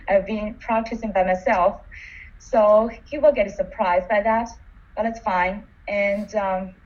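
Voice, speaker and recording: A woman whose first language is not English, earnestly sharing her experience as a coaching student, halting and restarting as she speaks. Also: mid-range voice, darkish tone, dull audio. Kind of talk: sharing a personal testimony.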